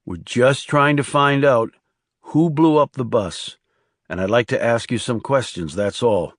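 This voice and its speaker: Narrator doing a calm fed in a thriller audiobook, direct and reassuring, mid pitch, dry. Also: male voice, measured pace, no room sound, close to an everyday voice. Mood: calm, probing. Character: calm, steady male government agent.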